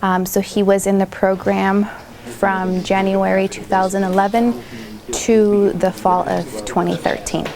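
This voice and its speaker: A woman who coordinates a school program, explaining it in an interview in a measured way with fillers. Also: medium pitch, level tone, gravelly, a crowd in the background.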